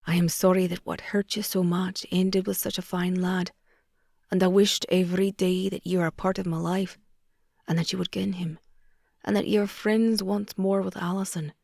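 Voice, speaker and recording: A young Scottish man speaks low and hushed, full of earnest regret, as a woman puts him on. His voice sits on the lower side and comes through dry and close, with a gentle Scottish lilt. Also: level tone, moderate pace, creaky, no room sound.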